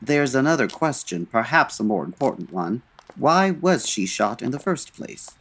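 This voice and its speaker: An actor playing a suave, dry-witted 1940s gentleman detective, urbane and measured, in an old-time radio style. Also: medium pitch, very animated. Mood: wry, probing.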